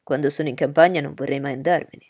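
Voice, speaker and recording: Woman voicing impulsive young gentleman, a bit lower, emphatic, raspy, audiobook. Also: steady tone, fast, dry recording, clean studio sound. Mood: eager. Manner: eager, emphatic.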